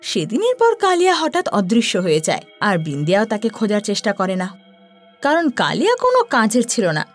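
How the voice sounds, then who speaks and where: A female storyteller narrating a horror tale. Her mid-pitched voice swings expressively up and down, with faint music playing behind her. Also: huge pitch swings, a little fast.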